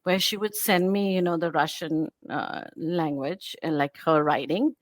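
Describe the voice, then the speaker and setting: A middle-aged woman with English learned in its British form talks in a lower voice, recorded close and dry. She tells her stories with energy, breaking into quoted asides. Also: measured pace, dry recording. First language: English learned in British form, also speaks Hindi. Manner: animated storytelling with laughs and quoted asides. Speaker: guest, bilingual book creator. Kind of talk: casual interview chat.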